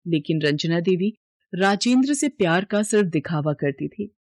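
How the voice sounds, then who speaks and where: A woman's voice: fairly low pitch, animated, moderate pace, darkish tone, no echo, clean studio sound. A woman reading a scripted dramatic story as its narrator.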